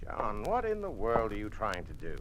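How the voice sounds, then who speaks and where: Animated, low-pitched, exasperated American adviser. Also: male voice, moderate pace. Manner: urgent, scolding, emphatic. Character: governor's cautious, exasperated doctor friend, pragmatic American man. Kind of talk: 1950s American radio drama acting. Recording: noisy old-time radio recording.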